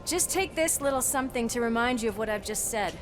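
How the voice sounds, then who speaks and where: An actress playing a tough, caring police partner in a video game, speaking in a wry, earnest and informal way. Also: female voice, on the high side, quick pace, over music, close to an everyday voice. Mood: earnest, encouraging. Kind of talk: naturalistic video game acting.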